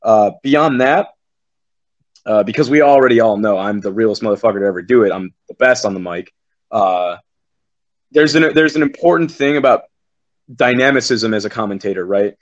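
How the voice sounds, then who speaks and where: A man's voice: lowish voice, expressive, normal speed, muffled recording. A man who works as a game commentator, chatting on a podcast in a rambling, profane, self-assured way. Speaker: esports commentator. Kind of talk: casual podcast chat.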